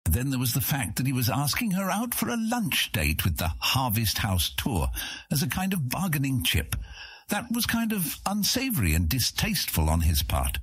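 Male audiobook narrator, scripted fantasy, raspy low tone, expressive intonation, very dry recording. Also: normal speed, dry recording.

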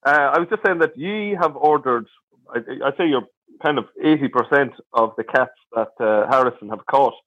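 A man's voice: mid-range voice, moderate pace, fairly bright tone, lightly acted. A man playing a teasing caller, muffled line. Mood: mocking. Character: male caller who catches cats. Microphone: video call.

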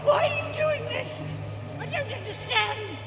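A woman's voice: extremely high pitch, animated, a little slow, full character voice. A woman playing a frightened, pleading figure on a creepy tape in an audio drama, audibly panicked. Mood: terrified, pleading. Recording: distant echoing hall, loud music.

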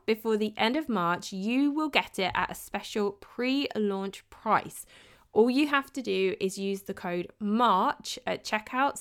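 Woman, upbeat scripted promo read, persuasive. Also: smooth. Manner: upbeat, persuasive sales pitch. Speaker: podcast host.

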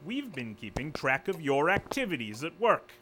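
A bright, oily corporate boss. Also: male voice, a little high, big pitch swings, moderate pace, slight background noise. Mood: smooth menace. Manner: falsely polite, quietly threatening. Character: smooth, menacing male corporate official. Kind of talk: audio drama acting.

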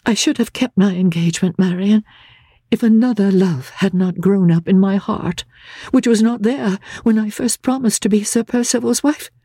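A woman voicing an anguished, gentle young gentlewoman, earnest and halting, in a dramatic classic audiobook. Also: medium pitch, breathy edge, no echo, studio quality, voice pushed up. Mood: sorrowful, confessing.

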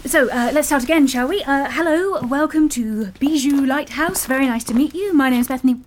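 A woman's voice: high-pitched, fairly quick, a little hiss or hum, close to an everyday voice. A woman playing a chatty, awkward boutique guesthouse host who rambles over-eagerly and mutters exasperated curses, in a naturalistic audio drama style. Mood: flustered. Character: flustered, chatty, awkward female boutique lighthouse-stay host.

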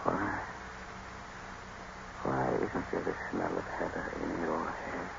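An actor playing a bitter, tormented lover turned cruel husband, brooding and sardonic, in 1950s radio drama style. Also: male voice, rumbling low, sing-song, slow pace, creaky, heavily acted. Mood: cold despair. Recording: noisy, dull old radio broadcast.

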